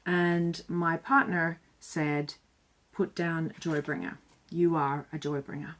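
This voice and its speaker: Woman vlogger, lower pitch, earnest and chatty, talks straight to camera giving tips. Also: level tone, takes it slowly, fairly bright tone, low-quality recording. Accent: none Irish. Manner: earnest, chatty and reflective, with some fillers. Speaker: self-styled joy bringer.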